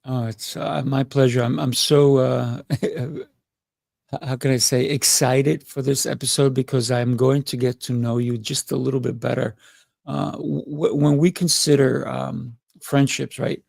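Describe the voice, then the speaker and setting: A man's voice: mid-range voice, fairly even intonation, a little slow, vocal fry, a little mellow, dry recording, clean studio sound. A man hosting a podcast, interviewing conversationally and sometimes searching for his words. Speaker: podcast host.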